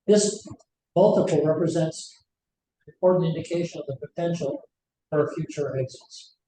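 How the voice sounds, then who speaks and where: A man presenting to investors as a company executive, with a somewhat halting delivery. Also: mellow. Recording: very echoey room, noisy rumbling background.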